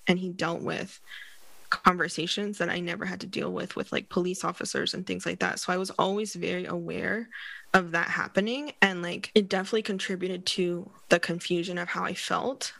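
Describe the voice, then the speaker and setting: A woman's voice: lowish voice, little pitch movement, moderate pace, creaky, fairly bright tone, no echo. A woman being interviewed, talking candidly and reflectively about herself in a casual way, often leaning on "like". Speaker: interview guest. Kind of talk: candid personal conversation.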